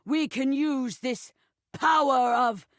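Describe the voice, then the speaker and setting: A woman's voice: high-pitched, slow, no room sound, clean studio sound. A woman voicing a proud alien warrior in a pulpy space play within a game, grand and melodramatic. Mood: solemn, grand. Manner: grand, theatrical, declamatory. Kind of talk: melodramatic sci-fi game voice acting.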